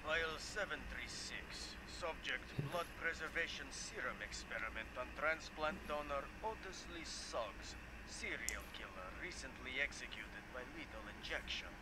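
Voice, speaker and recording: A man's voice: mid-range voice, animated, moderate pace, pauses often, very noisy, close to an everyday voice. An actor playing a clinical male doctor dictating formal, clipped experiment notes in an audio drama.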